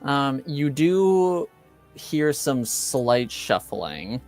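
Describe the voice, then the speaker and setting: Male game master, slow halting narration, smooth voice, improvising a tabletop story. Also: medium pitch, big pitch swings, darkish tone, soft background music. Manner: hesitant, halting delivery.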